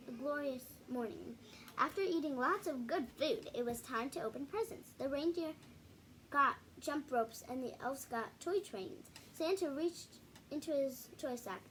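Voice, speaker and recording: A woman hosting a children's read-aloud video, reading a picture book with casual, halting, self-correcting asides to viewers. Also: high-pitched, a little hiss or hum, rough recording. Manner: halting, self-correcting, apologetic while showing pages.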